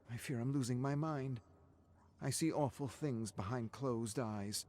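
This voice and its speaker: A man playing a manipulative young male pop star pleading with theatrical, tortured grief in a dark story. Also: medium pitch, voice pushed up. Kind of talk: acted dialogue in story narration.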